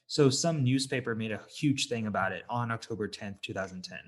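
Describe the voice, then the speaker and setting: A man co-hosting a casual film review podcast, talking haltingly as he searches for words. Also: fairly low pitch. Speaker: podcast co-host.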